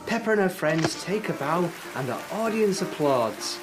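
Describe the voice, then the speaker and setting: A narrator telling a scripted children's story in an expressive way. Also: mid-range voice, normal speed, over loud music.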